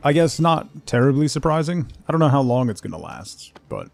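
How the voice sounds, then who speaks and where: A man's voice: medium pitch, big pitch swings, brisk, vocal fry, a little mellow. A man livestreaming a game with casual, conversational commentary, chatting to viewers in a laid-back way.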